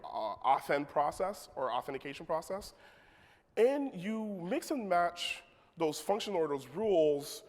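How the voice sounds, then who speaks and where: A man giving a technical conference talk in a casual manner, with joking asides to the audience. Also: fairly high pitch. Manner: leads the crowd in a call-and-response. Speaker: conference speaker. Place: large conference hall. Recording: long hall reverb.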